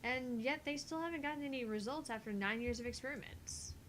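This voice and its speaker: A woman's voice: high voice, a little fast, fairly bright tone, a little hiss or hum. A woman doing an acted reading of dialogue from a visual novel game. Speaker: voice reader in a visual novel playthrough.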